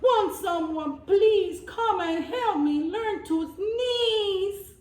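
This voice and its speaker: A woman's voice: very high voice, lively intonation, drawn-out words, a little mellow, voice pushed up, full character voice. A woman voicing a sad, persistent storybook goat with a plaintive, pleading manner. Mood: tearful plea. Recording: roomy echo, distant microphone.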